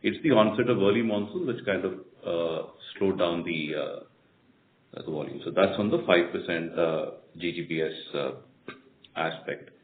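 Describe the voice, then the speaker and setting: An Indian-accented man, a company executive, explaining results in a measured way on an earnings call. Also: low voice. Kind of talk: answering questions on an earnings call. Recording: echoey narrowband conference phone line, faint noise.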